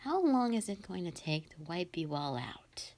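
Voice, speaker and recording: A woman's voice: lowish voice, very animated, moderate pace, darkish tone, faint music behind. A woman voicing a sadistic, vengeful female fox spirit in a scripted audio drama, theatrical and condescending. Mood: cold, menacing.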